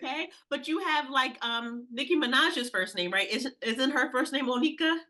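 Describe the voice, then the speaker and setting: A woman's voice: sits high, lively intonation, normal speed, pushed and bright. A woman hosting a podcast interview, effusive and gushing with praise for her guest. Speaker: podcast host. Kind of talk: enthusiastic interview.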